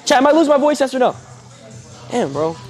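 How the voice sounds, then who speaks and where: A young man livestreaming from a large indoor gym on a lapel mic, hoarse and losing his voice, loud and joking as he talks to his chat. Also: very high-pitched, sing-song, fast talker, soft background music. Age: young adult.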